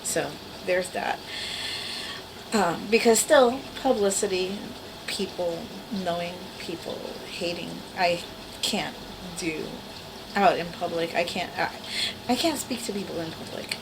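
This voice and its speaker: A woman's voice: lowish voice, a little slow, noisy background. A woman in her forties with a small, girlish voice, chatting casually and wryly to her camera at home. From the Bronx, New York. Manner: rambling, self-deprecating, wry. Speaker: vlogger. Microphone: camera mic.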